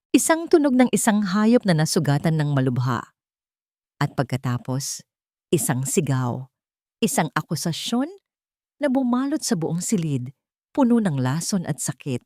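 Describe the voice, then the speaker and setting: A woman narrating a scripted audio drama in a measured, dramatic manner, pausing for suspense. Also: on the low side, a little mellow, no echo, studio quality. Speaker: story narrator.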